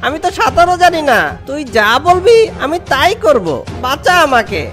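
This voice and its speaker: A woman's voice: high voice, expressive, normal speed, a bit bright, faint music behind. A woman voicing a meek, kindly young fox brother in a children's cartoon, earnest and eager to please. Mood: panicked pleading.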